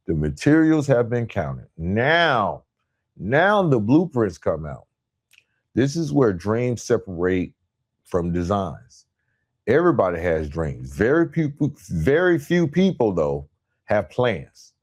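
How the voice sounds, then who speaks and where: Low-voiced man giving an emphatic motivational talk. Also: darkish tone. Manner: emphatic, deliberate, repeats phrases for emphasis. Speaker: podcast host and motivational speaker. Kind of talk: motivational monologue, part scripted.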